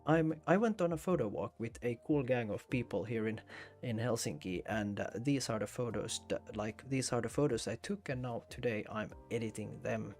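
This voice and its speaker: A man's voice: medium pitch, moderate pace, darkish tone, soft background music. A man from Finland with a Finnish accent, hosting a casual photography livestream and thinking aloud with hesitations and repeated words. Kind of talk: casual chat while editing photos.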